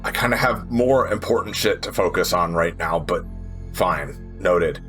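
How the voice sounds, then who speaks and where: A man's voice: deep voice, a little slow, a bit bright, soft background music, voice pushed down. A man voicing a guarded, foul-mouthed, suspicious woman survivor in a tabletop roleplay. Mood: curt, irritated. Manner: curt, swearing, suspicious.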